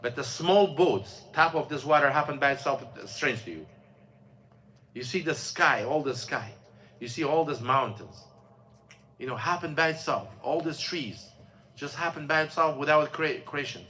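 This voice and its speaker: Bright-voiced man telling a story. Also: fairly high pitch, slight background noise. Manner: storytelling, halting with many fillers and restarts. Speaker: imam. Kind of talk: mosque class talk. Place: mosque classroom.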